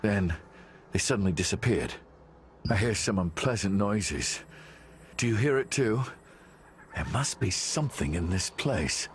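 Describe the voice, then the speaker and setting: A friendly nervous scout from a fantasy game, chatty but unsure, pitch moves a lot. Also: male voice, lively intonation, a little slow, pauses often, a little hiss or hum. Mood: uneasy, curious. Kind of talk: video game voice acting.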